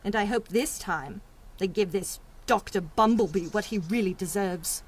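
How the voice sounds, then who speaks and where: A woman's voice: mid-range voice, moderate pace, lightly acted. A woman playing a prim, indignant secretary in a radio drama, speaking formally and dramatically. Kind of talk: 1930s-set radio drama acting.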